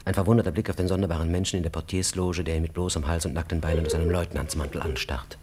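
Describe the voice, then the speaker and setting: A man narrating a radio drama in a terse, intense, dramatic style, hushed at times. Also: deep voice, fairly even intonation, rapid-fire, slightly rough. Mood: brooding, suspenseful. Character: tense storyteller of an officer's ordeal. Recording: music behind the voice.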